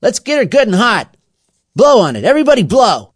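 A man's voice: on the high side, sing-song, takes it slowly, no room sound, studio quality, pitched above the performer's own voice, exaggerated voice. A man voicing a domineering, menacing boy who leads a gang and barks orders in a children's story. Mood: excited, commanding. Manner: domineering, commanding.

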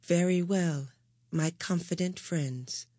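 A woman playing a thin-voiced, sharp foreign stranger. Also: a little slow, dry recording, clean studio sound, exaggerated voice. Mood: solemn warning. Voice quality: high, sharp, edged ring. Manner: cutting, then flat and solemnly quiet.

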